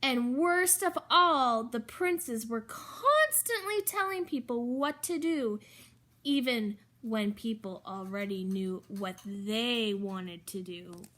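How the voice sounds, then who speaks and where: A woman reading a children's story aloud in an expressive, emphatic way, with chatty asides to the listeners. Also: fairly high pitch, takes it slowly. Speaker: storybook reader.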